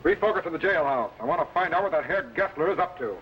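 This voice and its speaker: An actor playing a resolute time-travelling captain who gives authoritative orders in an old sci-fi serial. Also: male voice, a little high, expressive, quick pace, highs cut off, close to an everyday voice. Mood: focused. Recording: noisy old broadcast recording.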